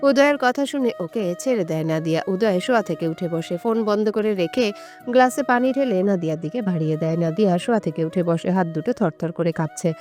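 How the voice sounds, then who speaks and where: A woman's voice: on the low side, fairly quick, a little mellow. A woman reading a scripted romantic story as an audio story narrator. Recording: music under the voice. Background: background music.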